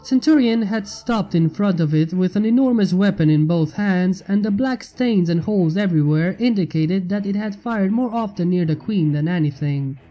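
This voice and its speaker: A man's voice: high-pitched, expressive, normal speed, hardly any pauses, dark tone, poor audio. A man reading a fantasy story aloud as narrator, with music behind him. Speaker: fan fiction reader. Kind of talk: scripted story narration with character voices. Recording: music under the voice. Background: background music.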